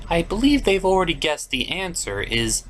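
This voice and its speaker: A man voicing an excitable, sentimental puppet who hosts a cartoon review show with emphatic, theatrical delivery. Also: a little high, moderate pace, slight background noise. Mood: animated storytelling. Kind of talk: lively semi-scripted review commentary.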